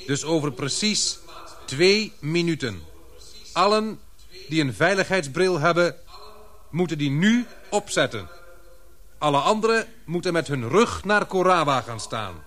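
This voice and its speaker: A commanding expedition leader in a radio drama. His voice sits rather high and moves in a lively way, deliberate and formal when giving orders, with tension held under control. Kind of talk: Dutch radio drama acting.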